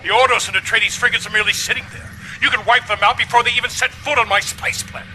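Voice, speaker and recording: High-voiced, cruel villainous baron. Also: male voice, fairly even intonation, fast talker, pushed and bright, slight background noise, heavily acted, rough recording. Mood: scheming, pleased. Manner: pompous, imperious, mocking. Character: cruel, gloating, domineering older baron, a villainous father. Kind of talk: video game cutscene acting.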